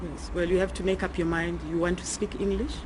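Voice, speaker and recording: South african lady judge, patient, measured, lowish for a woman, noisy courtroom recording. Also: flat delivery. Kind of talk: presiding over a courtroom.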